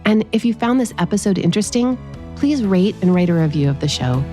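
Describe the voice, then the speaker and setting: A woman's voice: mid-range voice, animated, brisk, warm tone, soft background music. A woman hosting a podcast as a pediatrician, delivering scripted narration addressed to parents.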